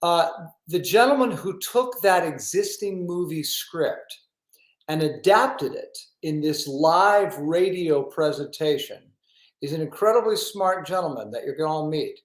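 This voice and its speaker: A male talk show host with a slightly high voice speaks in an effusive, conversational way. The sound is roomy, with clear echo around his words. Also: animated, measured pace. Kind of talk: casual interview and chat.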